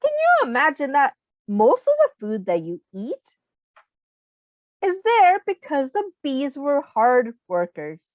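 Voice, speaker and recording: A woman teacher reading a picture book aloud to young children, deliberate and expressive, pausing to put questions to her listeners. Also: high-pitched, dry recording.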